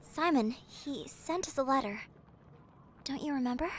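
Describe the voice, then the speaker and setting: A woman playing a gentle, welcoming caretaker and old friend in an audio drama, soft-spoken and patient. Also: sits high, lively intonation, unhurried. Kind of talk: cinematic audio roleplay acting.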